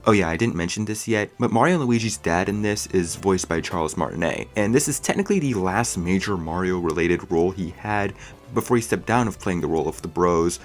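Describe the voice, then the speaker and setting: A man's voice: low voice, lively intonation, fairly quick. A man giving opinionated film review commentary as a video host, stretching key words for emphasis. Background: music under the voice.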